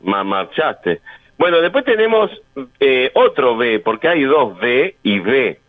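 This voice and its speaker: A man with a Rioplatense Spanish accent hosting casual, joking radio banter in a halting way. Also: mid-range voice, big pitch swings, dry recording. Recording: tinny band-limited radio sound.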